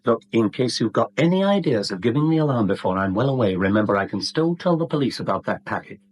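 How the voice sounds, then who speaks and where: An actor playing a smug English blackmailer with a husky voice and mockingly polite manner, in a 1970s radio drama. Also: male voice, medium pitch, very animated, quick pace. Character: smooth-talking, smug male blackmailer posing as genial customer.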